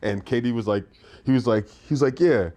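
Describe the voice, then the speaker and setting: A man's voice: mid-range voice, fairly quick, warm tone, slight background noise. A man chatting casually as a co-host on a sports podcast. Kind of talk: casual chat.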